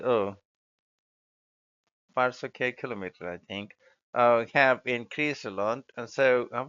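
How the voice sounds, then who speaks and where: Slow, halting Indian man. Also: lowish voice, a bit bright, smooth. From Mumbai, India. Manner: halting, hesitant. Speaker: speaking test candidate. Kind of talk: spoken exam answers. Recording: dull lo-fi audio.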